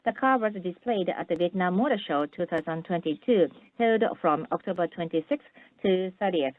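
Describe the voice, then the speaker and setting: Corporate presenter, female, lowish pitch. Formal scripted read for an earnings call, heard through a thin telephone connection. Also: lively intonation, normal speed, no echo. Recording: narrow, tinny phone-line sound.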